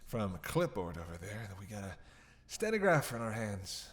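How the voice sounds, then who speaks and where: A man's voice: on the low side, big pitch swings, moderate pace. A man playing a snarky, defiant captive vampire in a roleplay audio drama, sarcastic, teasing and theatrical, with a drawl. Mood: flirty, teasing.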